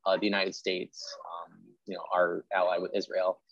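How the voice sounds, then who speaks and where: A man's voice: low voice, monotone, normal speed, highs cut off. A man hosting a casual interview, chatting effusively and peppering his talk with "like". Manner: effusive, conversational, heavy use of "like". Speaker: interview host; history teacher.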